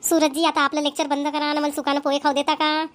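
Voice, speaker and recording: A woman's voice: sits high, little pitch movement, fast, close to an everyday voice. A woman playing a weary, solitary young daughter-in-law in a family cartoon, grumbling plainly with a Vidarbha Marathi accent. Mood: defensive, irritated. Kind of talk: amateur family cartoon voice acting.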